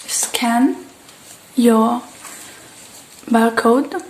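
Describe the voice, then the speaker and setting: A woman speaking softly and gently in a breathy, partly whispered ASMR roleplay, murmuring to the listener. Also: on the high side, extremely slow, slight background noise, low-quality recording. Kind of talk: soft-spoken ASMR roleplay as a technician tending a robot.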